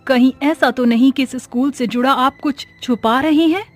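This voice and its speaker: A woman's voice: high voice, moderate pace, soft background music, close to an everyday voice. A woman voicing a brave, devout female schoolteacher in a horror story, emphatic and challenging. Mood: suspicious. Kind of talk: dramatic horror story acting.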